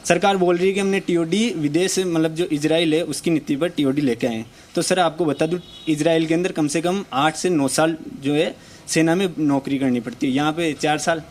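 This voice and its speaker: A man's voice: fairly high pitch, normal speed. A man in his twenties, an army aspirant, speaking passionately and pleading in a street interview. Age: 20s. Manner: impassioned, pleading.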